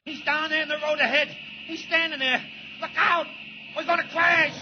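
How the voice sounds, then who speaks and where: A man's voice: very high-pitched, fairly even intonation, moderate pace. An actor playing a nervous male henchman who stammers, in 1940s radio acting. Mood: frantic. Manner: jittery, stammering. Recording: muffled old radio with hiss.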